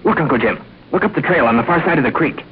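An actor playing a bold, keen all-American teenage hero in an old-time radio adventure serial, confident and earnest. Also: male voice, a little high, animated, quick pace, lightly acted. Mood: keen, alert. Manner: clean-cut, confident, earnest. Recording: tinny, faintly noisy old radio recording.

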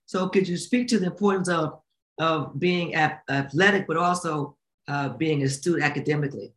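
A man hosts a podcast and puts questions to a guest politely, with hesitant fillers between his phrases. His voice is somewhat high for a man. It rings in an echoey room. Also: moderate pace, a bit bright. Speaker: podcast host. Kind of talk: interviewing a guest.

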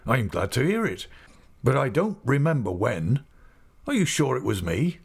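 A man's voice: mid-range voice, big pitch swings, moderate pace, voice pushed up, full character voice. A man reading a storybook, voicing a genial, short-winded old male shopkeeper in his later years. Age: older. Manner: chatty, breathy asides.

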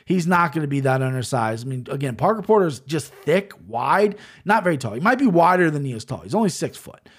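Man, high voice, brash, profane, rambling, casual betting chat. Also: big pitch swings, fairly quick, slightly rough. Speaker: sports betting picks host.

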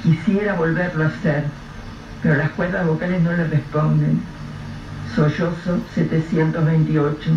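A man giving measured, literary narration for a radio drama. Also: a little high, level tone. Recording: distant, roomy, dull, noisy radio-play recording.

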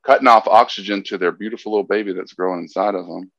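Deep-voiced man, halting and earnest. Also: steady tone, vocal fry, no echo, dull audio. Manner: halting, restarts often, earnest. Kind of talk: casual chat.

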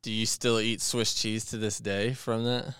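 A man hosts a podcast interview. His voice sits low and resonant, with a gravelly edge. He speaks informally to his guest, asking short questions. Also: level tone, a little slow, a bit bright, dry recording, studio quality. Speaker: podcast host.

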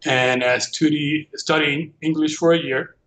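A man in his forties from Venezuela, speaking English with a Venezuelan Spanish accent, telling his story as a guest in a relaxed, self-deprecating way. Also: a little high, measured pace, poor audio. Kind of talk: casual conversation.

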